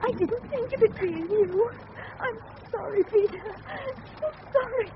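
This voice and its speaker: An actress playing a desperate married English murderess in a 1930s radio mystery, halting and dramatic in delivery. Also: female voice, extremely high pitch. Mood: shocked, remorseful. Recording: muffled, noisy old radio recording.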